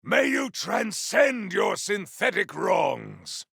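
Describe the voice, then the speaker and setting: A man voicing a proud, battle-hungry video game warrior, speaking in a theatrical, formal, declamatory manner with a gravelly, forceful edge. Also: high-pitched, big pitch swings, slow pace, no room sound, studio quality, exaggerated voice. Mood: battle fury. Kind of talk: video game combat barks.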